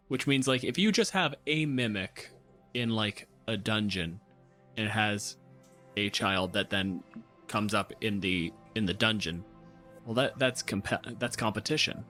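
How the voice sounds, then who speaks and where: A man's voice: lowish voice, sharp tone. A man hosting a podcast, talking casually through ideas in a loose discussion. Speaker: podcast host.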